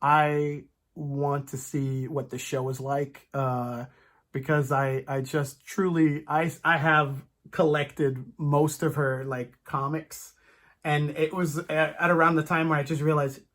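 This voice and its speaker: A podcast host chatting casually as a fan, in an animated, rambling, stop-start way with playful asides. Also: medium pitch, takes it slowly. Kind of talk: casual comic book fan chat.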